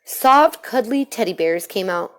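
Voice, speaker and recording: A woman reading a children's storybook aloud in an expressive way. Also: mid-range voice, unhurried. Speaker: storybook reader.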